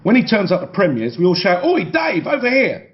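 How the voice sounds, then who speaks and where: A man's voice: sits high, big pitch swings, quick pace, highs cut off, pitched above the performer's own voice. A man reading an audiobook memoir, voicing a blunt, nosy and gossipy male tabloid photographer. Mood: gleeful, mocking. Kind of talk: acted quotes in a memoir audiobook.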